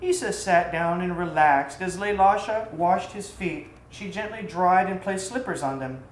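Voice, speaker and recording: A woman reading a scripted tale aloud in an expressive, theatrical storybook style. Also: fairly low pitch, a little slow. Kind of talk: scripted narration of an exotic tale. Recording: roomy echo, faint background noise.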